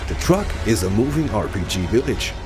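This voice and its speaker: A man's voice: fairly low pitch, big pitch swings, brisk. A man presenting scripted promotional narration for a video game broadcast, polished and explanatory. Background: music.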